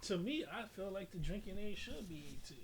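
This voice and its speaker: Higher-pitched man, noisy podcast recording. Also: expressive, fairly quick. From military family, lived in Japan growing up. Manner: opinionated, profane, rambling. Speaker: podcast host. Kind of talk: casual podcast chat.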